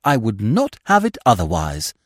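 A man voicing a suave, unflappable gentleman in an audiobook, blandly cordial and grandiose in his florid speech. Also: medium pitch, huge pitch swings, moderate pace, no echo, clean studio sound, pitched above the performer's own voice. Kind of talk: audiobook character voice.

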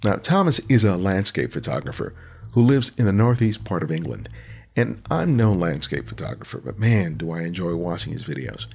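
Male podcast host, deep rough voice, animated, chatty delivery. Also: normal speed, faint music behind. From United States. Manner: chatty, hesitant restarts when asking questions. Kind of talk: interview podcast. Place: home studio, Southern California.

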